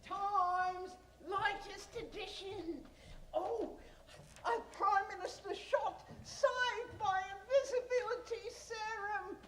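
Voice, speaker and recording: Woman playing a very shrill, echoing newspaper seller. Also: steady tone, slow, a bit bright, full character voice. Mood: excited. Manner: declamatory street cries. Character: excitable street newspaper seller crying headlines. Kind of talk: stage radio-drama acting. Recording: roomy, echoing, faint ambient noise.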